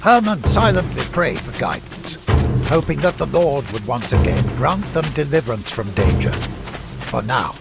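Gravelly male storybook narrator. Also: mid-range voice, big pitch swings, normal speed. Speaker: audiobook narrator. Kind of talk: scripted dramatic fantasy narration. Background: music.

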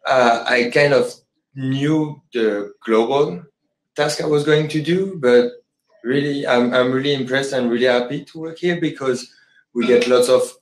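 A man's voice: mid-range voice, a little slow. A young man from France, a native French speaker, talking about his internship in careful English. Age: 20s. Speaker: talent acquisition intern. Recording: echoey meeting room.

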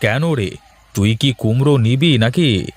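A man plays a lazy villager from a folk-tale cartoon. He drawls very slowly at a middling pitch, grumbling and teasing with plenty of little interjections. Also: lively intonation, a little mellow. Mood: haggling.

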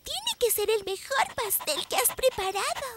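Very high, lively little girl. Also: moderate pace. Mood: friendly, admiring. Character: cheerful, friendly little girl who loves playing. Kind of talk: animated children's cartoon dub. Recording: music under the dialogue.

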